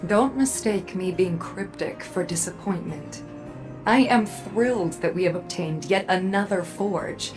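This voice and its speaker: An actress playing a proud, guarded robot armorer in a video game, speaking in a measured, cool, disdainful manner. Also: female voice, medium pitch, natural voice. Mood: cool, intrigued. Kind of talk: video game character acting. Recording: game music under the voice.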